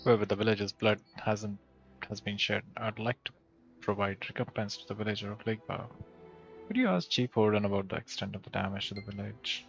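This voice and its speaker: Male game streamer, gravelly low read-aloud with casual asides, music underneath, lo-fi mic. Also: brisk, a bit bright. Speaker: game streamer playing through a fantasy game and reading dialogue aloud. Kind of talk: reading game dialogue aloud with casual commentary.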